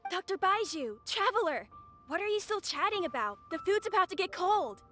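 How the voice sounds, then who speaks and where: A woman voicing a cheerful young woman calling out briskly in a game. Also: extremely high pitch, very animated, sharp tone, heavily acted. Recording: game music behind.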